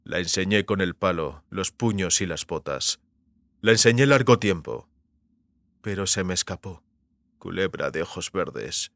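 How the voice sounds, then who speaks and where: A man voicing a brutal, gloating bounty hunter in an audiobook, speaking slowly and menacingly through gritted teeth. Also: deep voice, lively intonation, a bit bright, no room sound, voice pushed down, heavily acted. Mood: cruel boasting. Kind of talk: audiobook character acting.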